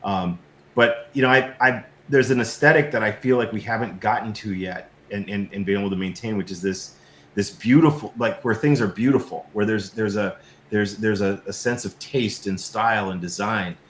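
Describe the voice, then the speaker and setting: Middle-aged male guest, lowish voice, roomy echo with faint noise behind, emphatic and stammering in casual conversation. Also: normal speed. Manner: effusive, emphatic, stammering and repeating words. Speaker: guest, science fiction creator and designer. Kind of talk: casual interview chat.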